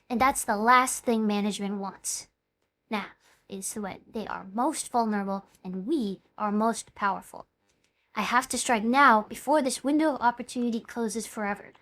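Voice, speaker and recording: A woman playing a defiant, forceful young female online star rallying her colleagues, in dramatic dialogue. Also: a little high, close to an everyday voice.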